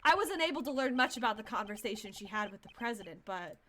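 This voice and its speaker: A woman voicing a composed male prosecutor in a video game, speaking in a formal, measured, probing way. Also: fairly high pitch, lively intonation, pushed and bright, a little hiss or hum. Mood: grave, deductive.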